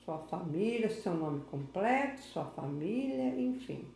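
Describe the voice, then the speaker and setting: A woman's voice: on the low side, very animated, slow, creaky, mellow, reverberant. A woman interviewing a guest informally, prompting him often and repeating his name. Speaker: interviewer, local history host.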